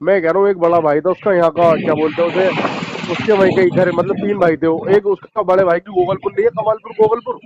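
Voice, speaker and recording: High-pitched insistent male caller, noisy line. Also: sing-song, a little fast. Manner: insistent, repetitive. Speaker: phone caller. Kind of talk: argumentative phone conversation. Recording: dull, noisy phone call with clicks.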